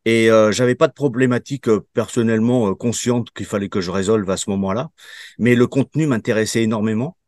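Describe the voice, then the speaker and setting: A man in his fifties with a lowish voice answers interview questions in a halting way, pausing on fillers and starting his sentences over. His voice is recorded close and dry, with no room sound. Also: a little mellow, no echo. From Portugal. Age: 50s. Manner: halting, many fillers and restarts. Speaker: program participant giving a testimonial.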